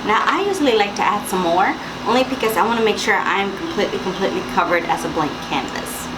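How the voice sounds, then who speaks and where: A young woman with a raspy morning voice, chatting and instructing through a makeup tutorial at home. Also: mid-range voice, expressive, fast, over loud music, rough recording. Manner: chatty, instructive, with fillers and asides. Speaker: makeup vlogger. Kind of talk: makeup tutorial talk to camera. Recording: loud background hiss and air-conditioner hum.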